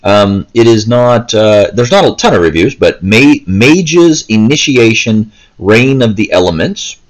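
A man's voice: on the low side, expressive, measured pace, low-quality recording. A man giving a casual, unscripted gameplay walkthrough in a hesitant, rambling way, often stopping mid-thought. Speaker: gaming video host. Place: indoors, seated, handheld console in hands.

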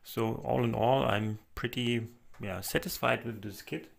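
A man narrating a model helicopter build in a relaxed voice-over, pausing often with fillers. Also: medium pitch, vocal fry. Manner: hesitant, many fillers, soft-spoken. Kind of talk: casual build walkthrough voice-over.

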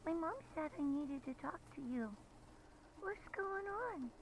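A woman's voice: high voice, animated, measured pace. A woman voicing a timid, worried little girl on the phone in a horror game. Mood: timid, confused. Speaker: child caller character. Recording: faintly noisy phone call.

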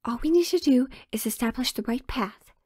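A woman's voice: on the high side, lively intonation, a little fast, a little mellow, husky, no room sound, clean studio sound. A woman playing a sheltered, pleading young royal in a romantic audio drama, with hesitant, formal old-fashioned phrasing. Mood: scheming, nervous.

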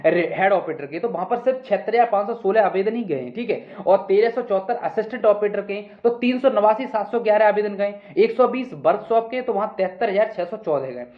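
A man's voice: sits high, a little fast, few pauses. A man giving an informal, instructive explainer to exam candidates, often repeating himself and checking in. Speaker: exam news video presenter. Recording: roomy echo, dull muffled sound.

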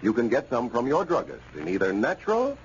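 A man announcing on an old radio show, giving a formal, persuasive sponsor message in measured phrases. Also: medium pitch, huge pitch swings. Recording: muffled, noisy old radio recording.

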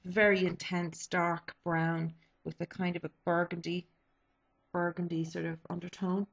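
A woman talks through her favourite products in a loose, rambling way, full of ums and restarts. Her voice sits a little low and is slightly stuffy from a cold. The sound is dull and lo-fi, picked up by a webcam mic in a small bedroom. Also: flat delivery, unhurried, gravelly. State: sniffly with a cold. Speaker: beauty vlogger. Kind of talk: casual product review. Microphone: webcam built-in mic.

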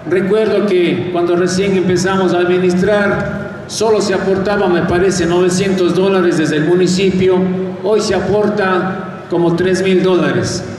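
A man's voice: sits high, steady tone, unhurried, a little mellow. A man with an Ecuadorian Spanish accent making a public speech as a local official. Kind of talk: public speech at a sports ceremony. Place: covered outdoor sports court. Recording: echoey distant PA, faint noise.

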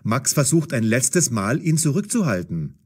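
A man's voice: medium pitch, big pitch swings, moderate pace, darkish tone, husky. A man narrating a scripted story for language learners in short, dramatic sentences.

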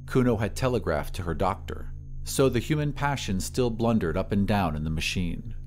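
A man narrates a scripted drama in a measured storyteller's way. His voice sits fairly low and moves widely in pitch. Faint music plays behind him. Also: expressive, darkish tone.

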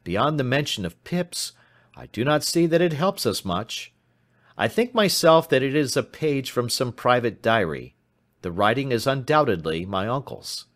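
A man voicing an anxious, despairing young gentleman in an audiobook, formal and earnest in manner. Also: medium pitch, sing-song, moderate pace, pitched above the performer's own voice. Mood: thoughtful. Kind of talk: audiobook character voice.

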